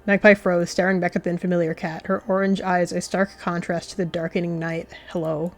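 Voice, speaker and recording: A woman's voice: lowish voice, level tone, brisk, faint music behind. A woman narrating a game roleplay as a story, slipping into chatty asides.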